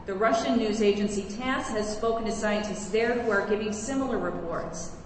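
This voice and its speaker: A woman playing a composed news anchor in a radio drama, reading bulletins in a measured, formal way. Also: mid-range voice, lightly acted. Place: live theater stage. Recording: very echoey hall, faint noise.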